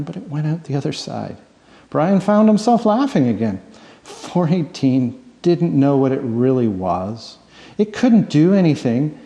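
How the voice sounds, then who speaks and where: A man narrates fiction like an audiobook, with a soft-edged, mellow tone and plenty of gravelly creak. His storytelling is dramatic and expressive. The voice rings with long reverb, as if in a hall. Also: mid-range voice. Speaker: author reading own novel.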